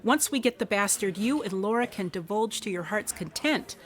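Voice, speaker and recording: A woman's voice: medium pitch, lively intonation, normal speed, lightly acted. Firm, no-nonsense woman investigator, played by an actress. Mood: determined, scheming. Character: no-nonsense female investigator, shrewd, protective, commanding. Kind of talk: contemporary radio drama acting.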